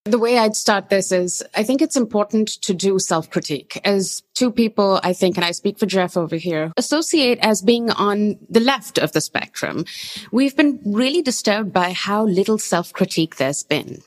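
A woman's voice: medium pitch, expressive, moderate pace. A woman from Pakistan, a guest on an interview podcast, speaking in an earnest, articulate and concerned way. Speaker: guest, academic.

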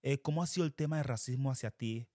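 A man co-hosts a podcast, putting questions conversationally. His voice sits at a middle pitch for a man. The recording is close and dry. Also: quick pace, dark tone, dry recording. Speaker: podcast co-host, interviewer. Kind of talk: casual conversational interview.

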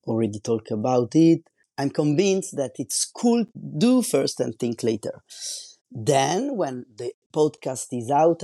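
Slow-talking Italian-accented man. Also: mid-range voice, gravelly, dark tone, dry recording. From Italy. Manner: slow, careful, searching for words. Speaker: podcast host. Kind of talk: solo podcast monologue.

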